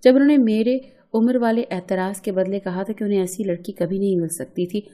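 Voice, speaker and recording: A woman's voice: mid-range voice, steady tone, a little fast, dark tone. A woman reading a scripted, emotional first-person story as its narrator.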